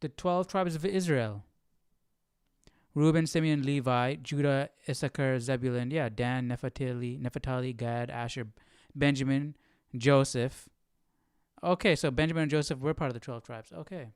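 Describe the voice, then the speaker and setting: A man talks casually as a livestream host, puzzling things out aloud. His voice is clear and smooth, with an informal, questioning manner. The sound is dry and close, with little room around it. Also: mid-range voice, steady tone, normal speed, dry recording. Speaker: host of a Bible study livestream.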